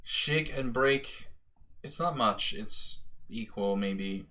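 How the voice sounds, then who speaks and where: A man's voice: medium pitch, takes it slowly, sharp tone. A man streaming his chess games, chatting casually with viewers and teasing his opponent while he thinks about his moves. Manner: teasing, mock-scolding the opponent. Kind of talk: casual live-stream commentary.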